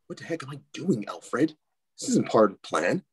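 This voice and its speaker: An actor playing an awkward, preoccupied young wealthy man in a read-through of an animated film, hesitant and husky. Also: mid-range voice, moderate pace, no room sound. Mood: confused. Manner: hesitant, sheepish.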